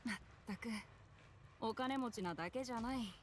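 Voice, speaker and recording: A woman's voice: a little high, quick pace, slight background noise, lightly acted. An actress playing a kindly, plain-spoken woman blacksmith in a video game, casual and blunt. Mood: frank, fond. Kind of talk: Japanese video game voice acting.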